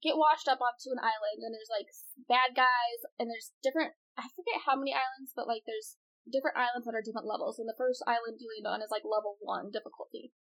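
Female podcast co-host, slightly high voice, rambling casual gamer chat. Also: fairly even intonation, fast talker, few pauses, fairly bright tone, rough recording. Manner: chatty, rambling, laughs often.

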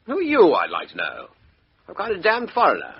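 An actor playing a blustering, indignant English army major under questioning in a 1950s radio drama. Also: male voice, high voice, wildly swooping pitch, brisk, creaky, full character voice. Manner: blustering, indignant, rising to panic. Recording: dull lo-fi old radio recording.

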